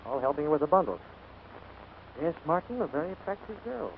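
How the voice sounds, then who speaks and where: Brisk, wry gentleman on old radio. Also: on the high side, big pitch swings, vocal fry. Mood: teasing, amused. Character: suave, observant gentleman employer, teasing his servant. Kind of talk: 1940s radio comedy acting. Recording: scratchy, narrow-band 1940s radio broadcast.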